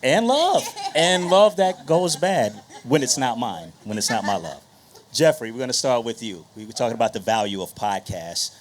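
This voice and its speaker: A man's voice: medium pitch, animated, moderate pace, a little hiss or hum. A man moderating a live panel with casual, joking banter, repeating phrases for emphasis.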